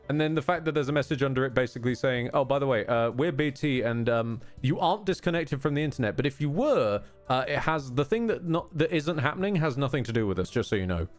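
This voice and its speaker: Male game streamer, expressive range, casual and sweary commentary, wry grumbler who interrupts himself, faint music bed. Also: mid-range voice. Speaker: live streamer.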